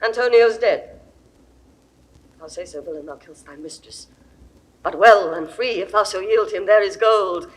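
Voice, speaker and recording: A woman's voice: mid-range voice, a little slow, long pauses, natural voice, low-quality recording. An actress playing an imperious, lovesick Egyptian queen in a classical Shakespeare audio drama, with grand, theatrical delivery. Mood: anxious, threatening.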